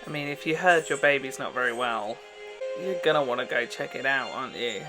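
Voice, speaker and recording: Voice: mid-range voice, a little fast, over music. Chatty reactor over TV soundtrack. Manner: exclamatory, informal, chatty. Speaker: reaction video host. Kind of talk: casual reaction commentary. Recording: TV show soundtrack playing behind.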